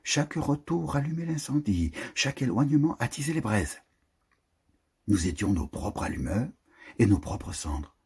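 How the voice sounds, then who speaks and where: A man giving a literary reading of a prose poem in a solemn, declamatory manner with deliberate phrasing. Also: medium pitch, sing-song, vocal fry, darkish tone, slightly rough.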